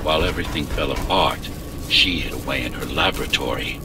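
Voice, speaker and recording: An actor playing a bitter, calculating, bodiless former ruler in a video game, speaking in a measured, imperious, lecturing way. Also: male voice, noisy background. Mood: stern, lecturing. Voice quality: very deep, gravelly. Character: deposed tower ruler, bodiless digital mind, bitter, calculating. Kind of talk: dramatic video game acting. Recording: loud electronic music behind.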